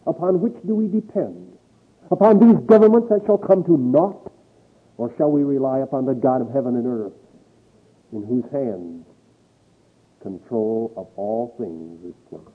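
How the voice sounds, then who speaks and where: A husky-voiced man preaches with swelling emphasis, reading scripture between his points. The sound is thin and tinny, like an old recording over a phone line. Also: mid-range voice, very animated, a little slow. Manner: emphatic preaching with rising and falling intensity, reading scripture aloud. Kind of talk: sermon.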